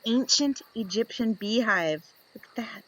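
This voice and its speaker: Bright-voiced woman reading to children. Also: on the high side, a little slow, poor audio. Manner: expressive, instructive, asks and answers questions. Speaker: children's teacher reading a picture book aloud. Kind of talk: read-aloud story time for young children with questions to listeners.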